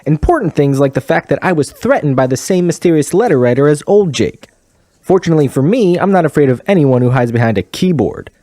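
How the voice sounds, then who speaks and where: Give the role of a smug, sanctimonious small-town news anchor to a man acting it. He swings his pitch with lively self-importance in a mellow, unforced tone. It is styled as a comedy local radio broadcast. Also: fairly quick, lightly acted. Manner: pompous, sanctimonious.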